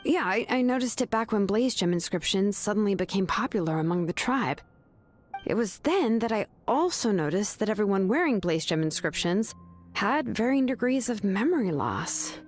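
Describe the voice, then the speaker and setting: An actress playing a sharp, laid-back young woman who calmly reasons through a scheme in a video game. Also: medium pitch, moderate pace, natural voice. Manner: calm, knowing, casually reasoning. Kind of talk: video game dub acting. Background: game music.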